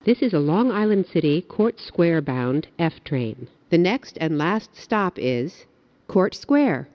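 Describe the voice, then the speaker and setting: A woman giving recorded subway announcements in a formal, clearly phrased way. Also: lowish voice, sing-song, slow pace, no room sound. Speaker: transit announcer. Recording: dull, lo-fi announcement audio.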